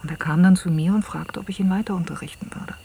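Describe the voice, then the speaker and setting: An actress playing a troubled, soft-spoken woman in an intimate radio drama, often hushed to a near-whisper as she confides and narrates. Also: on the low side, fairly even intonation, fast, vocal fry. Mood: troubled, confiding. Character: troubled, soft-spoken woman recounting an entangled love affair. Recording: hissy old cassette radio-play recording.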